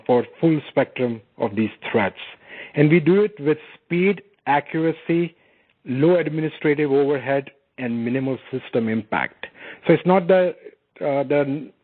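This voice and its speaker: A man giving an explanatory product presentation on a conference call, speaking haltingly with frequent filled pauses. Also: mid-range voice, slightly rough. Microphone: conference call phone line. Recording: muffled conference phone line.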